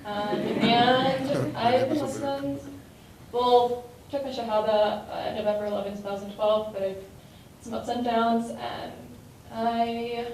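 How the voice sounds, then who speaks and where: Nervous, higher-voiced woman from Mexico. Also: fairly even intonation, takes it slowly, background noise. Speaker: panelist. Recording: very echoey hall, far mic.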